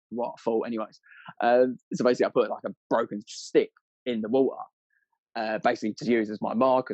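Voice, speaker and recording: A man's voice: lowish voice, no room sound, dull audio. A British man telling anecdotes as a podcast guest, chatty, rambling and full of casual slang.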